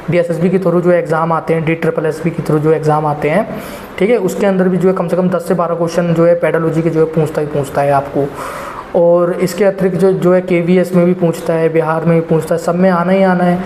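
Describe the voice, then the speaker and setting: A man's voice: on the high side, level tone, a little fast, a little mellow, a little hiss or hum. A young adult man casually giving exam preparation advice to students, rambling with many filler phrases and addressing viewers as guys. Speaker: exam prep teacher. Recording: echoey room with faint ambience.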